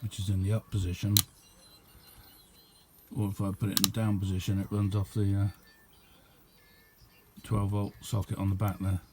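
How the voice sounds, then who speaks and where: A man's voice: lowish voice, completely flat delivery, moderate pace, long pauses, very noisy. A man giving a casual, unscripted show-and-tell as a hobbyist, rambling along in an understated way. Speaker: hobbyist demonstrating a homemade radio.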